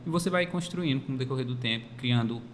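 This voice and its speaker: A man's voice: mid-range voice, fast talker. A man giving informal, plain-spoken advice as a student guest on a panel. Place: makeshift studio at a university campus event. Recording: long reverb, faint ambient noise.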